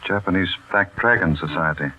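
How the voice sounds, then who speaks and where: An actor playing a concerned, no-nonsense military major, firm and urgent, in an old-time radio drama. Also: male voice, deep voice, brisk, natural voice. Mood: grave. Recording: muffled, noisy old radio recording.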